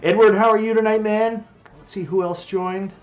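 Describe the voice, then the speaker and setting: A man's voice: high-pitched, steady tone, normal speed. A Canadian man in his forties with a light accent, casually chatting on a live stream, greeting viewers and joking about his own skills. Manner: chatty, greets viewers by name, self-deprecating.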